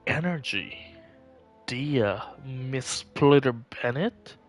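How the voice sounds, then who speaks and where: A man's voice: mid-range voice, lively intonation, extremely slow. A man doing casual live gaming commentary, chatting and thinking aloud as he plays. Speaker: game livestreamer. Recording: music playing behind. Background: music.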